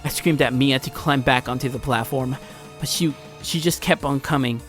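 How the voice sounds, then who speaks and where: A man reads a visual novel aloud as a let's play host, with theatrical delivery and frequent stumbles. His voice is mid-pitched, and music plays behind him in a home recording. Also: normal speed, fairly bright tone. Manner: theatrical, often stumbles over the text. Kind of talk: dramatic read-aloud narration of visual novel.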